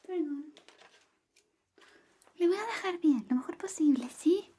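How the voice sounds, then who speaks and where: A woman whispering softly and gently in an ASMR roleplay, playing a friend who grooms you. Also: very high voice, animated, fairly quick. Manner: soft whispering, close and gentle.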